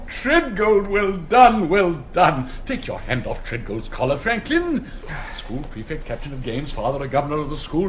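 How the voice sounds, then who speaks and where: Pompous, swooping elderly English headmaster. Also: male voice, on the high side, a little hiss or hum. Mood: flustered. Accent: upper-class English. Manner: pompous, declamatory, hesitant asides. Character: pompous, doddery, easily outraged elderly public-school headmaster. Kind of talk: theatrical stage comedy acting, oratorical.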